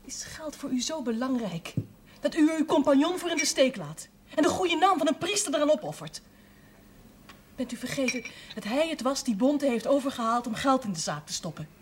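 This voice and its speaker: An actress playing a defiant, principled grown daughter in a television drama, reproachful, indignant and outspoken. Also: female voice, sits high. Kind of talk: naturalistic television drama acting.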